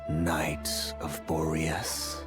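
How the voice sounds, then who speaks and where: Low slow ominous narrator from a fantasy game, solemn, a bit mocking. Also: male voice, completely flat delivery, over music, full character voice. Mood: solemn, ironic. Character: grave, ominous male narrator of a fallen knight's tale. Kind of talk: dramatic video game voice acting.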